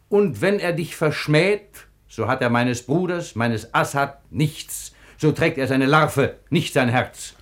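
An actor playing a proud, magnanimous sultan with a fatherly streak, in a theatrical, authoritative radio play delivery. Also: fairly high pitch. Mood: chiding, coaxing. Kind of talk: classical German radio play acting.

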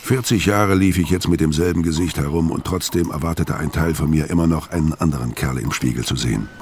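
Deep, world-weary tough guy. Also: brisk, noisy background. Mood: brooding. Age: middle-aged. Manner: laconic, brooding. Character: hard, world-weary, taciturn middle-aged tough guy. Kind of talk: first-person narration in radio crime drama.

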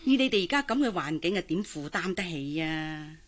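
An actress with a Hong Kong Cantonese accent playing a pushy, traditional middle-aged auntie in a radio drama, coaxing and exclaiming. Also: female voice, on the low side, lively intonation, moderate pace. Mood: persuading. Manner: coaxing, exclaiming, sighing interjections. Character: pushy, traditional older auntie urging a girl to marry.

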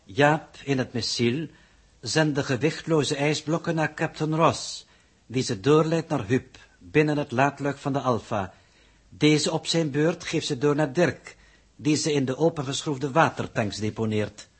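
An actor playing a pedantic, formal alien coordinator who reports in stilted, ponderous phrases in a 1960s radio drama. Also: male voice, on the high side, unhurried, husky. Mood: stiffly formal.

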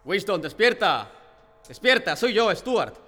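A man's voice: sits high, big pitch swings, slow pace, bright, forceful tone, full character voice. A man from playing a brainwashed prisoner in a radio drama, pleading fervently for devotion to his oppressors. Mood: urgent, reassuring. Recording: long hall reverb, faint music behind.

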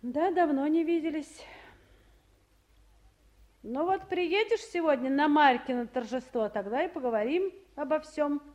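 High-voiced commanding woman official. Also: measured pace, darkish tone. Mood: firm, reproachful. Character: busy woman official, motherly yet commanding. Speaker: official in charge. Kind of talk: 1950s Russian radio play acting.

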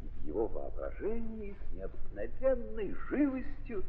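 A man narrating a literary drama in an expressive, deliberate 1930s theatrical style, with dramatic pauses. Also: high voice, vocal fry, a little hiss or hum. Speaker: narrator, stage actor. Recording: muffled 1930s recording, long reverberant hall.

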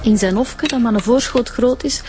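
Woman as high-voiced, eager Flemish investigator. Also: normal speed, over music, close to an everyday voice. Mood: excited, triumphant. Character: eager female police investigator reporting a find. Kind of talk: naturalistic radio drama acting.

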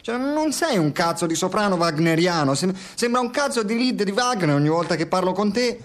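An actor playing a frustrated, foul-mouthed scientist in an Italian radio drama, sarcastic and given to profane ranting. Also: male voice, sits high, animated, a little fast. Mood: angry, sarcastic. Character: frustrated, foul-mouthed male scientist turned reluctant playwright. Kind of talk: Italian radio theatre acting.

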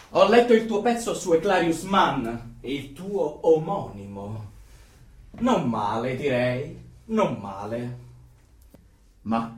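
An actor playing a pompous, fervent Party zealot in a radio drama, with a theatrical, declamatory delivery. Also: mid-range voice. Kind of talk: Italian radio drama acting. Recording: roomy, distant stage-like sound.

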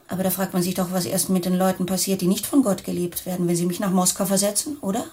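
An actress playing a flirtatious, self-important secretary in a German radio drama, coy and garrulous. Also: female voice, lowish voice, steady tone, quick pace, mellow, natural voice. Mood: coy, chatty.

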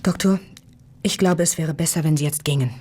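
An actress playing an anxious wife in a radio play, speaking with hushed, urgent pleading. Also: female voice, low-pitched, brisk, breathy edge. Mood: wary, firm.

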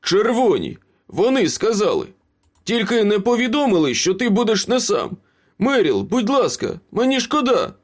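A man's voice: high voice, very animated, normal speed, voice pushed up. A man voicing a wounded, cowardly young male traitor in a storybook, pleading and protesting breathlessly. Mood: panicked pleading. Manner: frantic, gasping pleas and protests.

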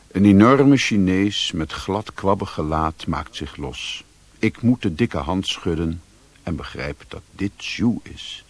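A man's voice: deep voice, creaky, breathy edge, full character voice. An actor playing a brooding European drifter who narrates his own story in a radio play, introspective and literary in delivery. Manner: slow, introspective. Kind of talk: first-person literary radio drama narration.

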